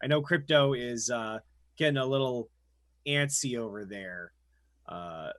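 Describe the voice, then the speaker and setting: A man's voice: medium pitch, unhurried, noisy background. A man voicing a guide character during an online tabletop roleplay session, earnest and playful. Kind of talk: tabletop roleplay over voice chat.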